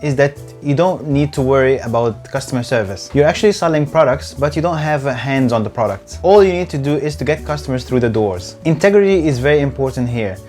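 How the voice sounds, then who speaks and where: A man's voice: medium pitch, animated, fairly quick, hardly any pauses, a little mellow. A man who speaks English as a later language, giving a scripted, earnest tutorial as host of his own channel, a little stiff on camera. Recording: faint background music.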